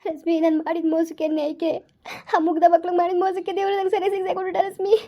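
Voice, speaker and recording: A woman's voice: very high-pitched, level tone, racing, dull audio. A woman voicing a desperate young mother who cries and pleads over her sick son. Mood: sobbing. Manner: tearful, pleading, sobbing. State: crying.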